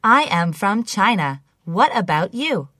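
A woman reads scripted listening-test items for language learners. Her voice is bright and lively in pitch, with careful, clear enunciation. The recording is close and dry. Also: unhurried, dry recording, soft background music. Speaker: English listening test reader. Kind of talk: scripted language-lesson reading, each item repeated.

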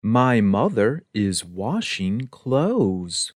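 A woman reading an English listening test aloud, slowly and deliberately, saying each item twice. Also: rumbling low, very animated, a little mellow, dry recording, clean studio sound. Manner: reads each item twice, evenly spaced. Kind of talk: slow, deliberate test dictation.

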